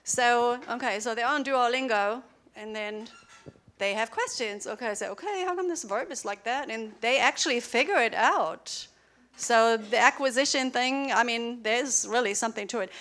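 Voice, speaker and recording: A woman with a slightly high voice presents to a hall full of teachers. German is her first language, and she keeps it casual and chatty. She throws quick questions at the audience and laughs as she goes. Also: fairly bright tone. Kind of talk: conference workshop talk. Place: large lecture hall.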